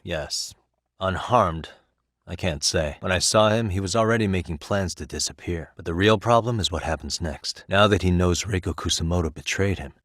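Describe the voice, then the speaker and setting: A man's voice: low-pitched, a bit bright, no room sound. A man with an American accent voicing an easygoing, principled detective in a video game, talking in a dry, wry way as he reasons things through. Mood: grave. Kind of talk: video game voice acting, conversational dialogue.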